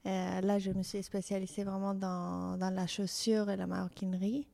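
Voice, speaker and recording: Mexican woman, low creaky voice. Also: little pitch movement, moderate pace, mellow. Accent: Mexican Spanish. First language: Spanish. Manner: hesitant, many fillers and restarts. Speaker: fashion designer, guest. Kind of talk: interview conversation.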